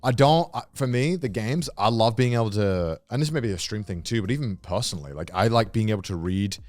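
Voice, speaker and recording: A man's voice: fairly low pitch, expressive, brisk, a little mellow, no room sound. A man co-hosting a podcast, explaining his opinions in casual, slangy chat. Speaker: podcast co-host; voice actor.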